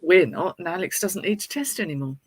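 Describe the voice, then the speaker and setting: A woman's voice: lowish voice, a little fast. A British woman co-hosting a casual chat show, sounding slightly nasal from a cold. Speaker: co-host of an interactive chat show.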